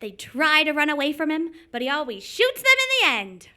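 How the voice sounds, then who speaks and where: A wide-eyed, dithery wife in an old-time radio comedy, very high and bright. Her excitable delivery swings widely as she plays amateur sleuth. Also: brisk, heavily acted. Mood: eager. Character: scatterbrained, excitable wife playing amateur detective.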